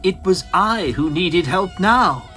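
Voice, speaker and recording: An embittered little mad scientist in a melodramatic audio drama. The voice is fairly high and sharp-edged, halting and pleading as it tells the tale, with faint music underneath. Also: male voice, animated, exaggerated voice. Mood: haunted recounting. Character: small, bitter, frantic mad scientist, male professor.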